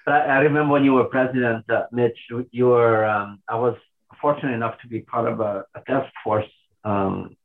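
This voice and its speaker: A man, calling in and talking thoughtfully with pauses and restarts. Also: mid-range voice. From Portugal. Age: 60s. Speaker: caller. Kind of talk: casual call-in chat. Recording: tinny phone line.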